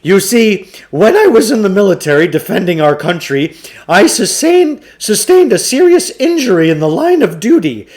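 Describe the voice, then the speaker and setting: Guy doing a pompous oddball shop owner from a kids book, high voice, swoops around, grandiose showman. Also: sing-song, normal speed, darkish tone. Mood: pompous, solemn. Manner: pompous, theatrical. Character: eccentric male occult shopkeeper, grandiose, boastful showman. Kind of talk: acted storybook dialogue.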